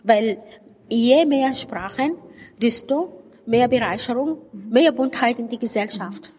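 A woman's voice: fairly high pitch, slow, a little mellow. A woman from Sudan, a native Arabic speaker with an Arabic accent, gives reflective interview answers in a searching, emphatic way. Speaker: interviewed writer and poet. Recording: reverberant hall, clear background noise.

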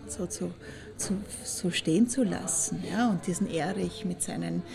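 An actress with an Austrian accent playing a coy, nostalgic former lover, hesitant and trailing off mid-sentence. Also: female voice, fairly low pitch, moderate pace, gravelly. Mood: dreamy, nostalgic. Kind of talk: intimate anecdotal monologue in an audio drama.

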